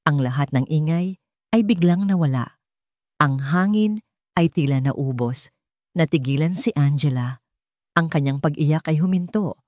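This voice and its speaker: Woman narrating a dramatic love story, lowish voice, really dry recording. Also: measured pace, long pauses, mellow, no room sound.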